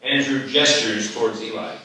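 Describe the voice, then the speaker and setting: A man narrates screenplay directions at a live reading. His voice is bright and forceful at a middling pitch, picked up from a distance in a strongly reverberant hall. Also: fairly even intonation, normal speed, slight background noise. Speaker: stage directions reader. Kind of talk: scripted narration at live table read. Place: live festival stage reading. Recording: very echoey hall, distant mic.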